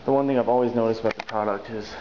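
A man's voice: fairly low pitch, fairly even intonation, very fast, highs cut off, background noise. A young man filming a casual vlog, joking and explaining car care to the camera on a handheld camera. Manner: upbeat, joking, talks to the camera. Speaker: vlogger. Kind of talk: casual road-trip vlog with detailing tips.

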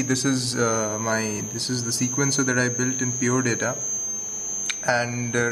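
A young man giving a casual spoken demo in an informal, halting way with pauses. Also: medium pitch, slight background noise, low-quality recording. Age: young adult. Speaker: student maker presenting a prototype. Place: indoors at a computer desk. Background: electronic sequencer music.

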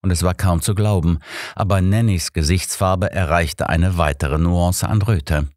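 A man narrating a scripted audiobook story in the first person, expressive and measured. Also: low voice, dry recording.

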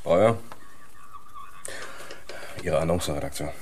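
Male radio-play police lieutenant: low voice, methodical, authoritative, clearly noisy recording. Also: slow. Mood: businesslike. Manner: businesslike, authoritative questioning. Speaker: actor in a radio crime play. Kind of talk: radio crime drama acting.

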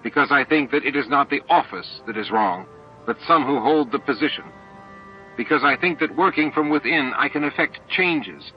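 A man's voice: medium pitch, lively intonation, moderate pace, pitched above the performer's own voice, close to an everyday voice. A man voicing an earnest, idealistic insect-like alien leader in a dramatized audiobook, reasoning with strained patience. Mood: impatient conviction. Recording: dull lo-fi audiobook tape with music.

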